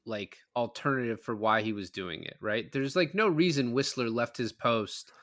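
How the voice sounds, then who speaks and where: A man's voice: medium pitch, normal speed, a bit bright, no room sound, clean studio sound. A man in a casual film discussion, opinionated and emphatic, swearing freely as he makes his points. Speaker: film podcast panelist.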